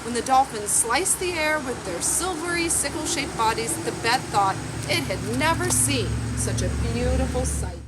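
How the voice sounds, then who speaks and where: A woman around forty reading a picture book aloud in a measured, expressive storytelling way. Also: high voice. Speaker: library storytime reader. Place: outdoors on a garden bench. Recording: outdoor background noise with insects.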